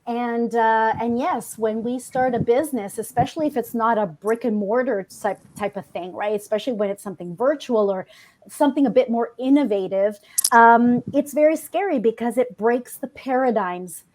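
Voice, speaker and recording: A woman podcast guest from a Colombian family, telling her personal story conversationally in an animated way. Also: fairly high pitch, normal speed, a little hiss or hum. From Colombian household.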